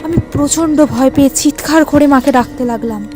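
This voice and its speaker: A woman narrating a first-person horror story in a suspenseful, theatrical way with dramatic pauses. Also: high-pitched. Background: music.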